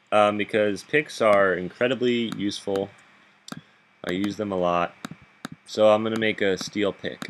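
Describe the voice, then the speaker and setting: A man with a very deep, low voice talks through his game in a loose, rambling way, full of fillers and little chuckles. His tone carries a bright, pushed edge. He speaks into a laptop microphone while sitting up in bed, with a faint hiss behind him. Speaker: gaming video host. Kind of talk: casual gameplay commentary.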